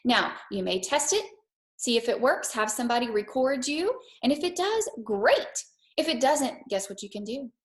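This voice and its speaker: A woman's voice: on the high side, expressive, moderate pace. A woman teacher in a read-aloud lesson for young children, speaking simply and directly with an encouraging manner.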